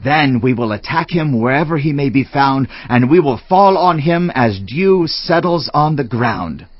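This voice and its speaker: An actor playing a grave, persuasive royal adviser in a dramatized scripture reading, speaking with measured, emphatic oratory. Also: male voice, mid-range voice, lively intonation, dry recording, muffled recording. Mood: urgent, rousing.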